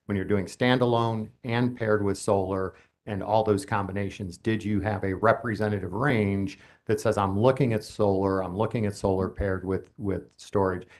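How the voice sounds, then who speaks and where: A man with a low, gravelly voice gives expert testimony at a formal hearing. He explains technical points at length in a steady, matter-of-fact way, walking through each point with care. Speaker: utility company expert witness.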